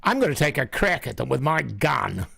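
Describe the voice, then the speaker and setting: An actor playing a jovial, scoffing farmer neighbour, hearty and dismissive, in a vintage-style radio drama. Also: male voice, medium pitch, sing-song, normal speed, vocal fry, fairly bright tone, husky, no echo, studio quality, exaggerated voice. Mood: hearty, cocky.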